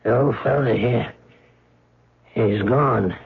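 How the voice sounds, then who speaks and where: An actor playing an uneasy, folksy museum guard in a radio drama, husky, gravelly and hesitant, on a muffled old recording. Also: male voice, medium pitch, takes it slowly, exaggerated voice. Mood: uneasy, subdued. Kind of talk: 1970s radio drama acting.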